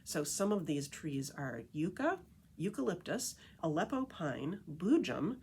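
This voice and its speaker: Female library storyteller, slightly low voice, animated and emphatic read-aloud delivery for young listeners. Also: measured pace, slight background noise. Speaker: librarian reading a picture book aloud.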